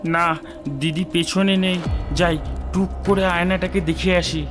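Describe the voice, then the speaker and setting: Woman as a slow-talking mischievous little boy. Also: lowish voice, flat delivery, over music, lightly acted. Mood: sneaky wonder. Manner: playful, cheeky, slow. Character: mischievous, curious little village boy, playful younger brother. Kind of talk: cartoon dialogue acting.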